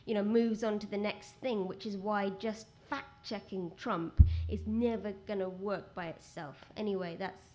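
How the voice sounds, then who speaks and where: Quiet-voiced woman, rambling. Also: mid-range voice, normal speed, few pauses, soft background music. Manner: rambling, animated, many restarts. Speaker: guest writer. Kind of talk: conversational talk at a live event. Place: outdoor event with rain.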